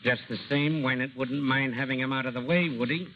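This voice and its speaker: An actor playing a brusque, harried, tough police inspector who talks in blunt, slangy bursts in an old radio drama. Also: male voice, mid-range voice, steady tone, a little fast, lightly acted. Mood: businesslike, probing. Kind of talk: 1940s radio drama acting. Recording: scratchy, noisy, narrow-band vintage radio recording.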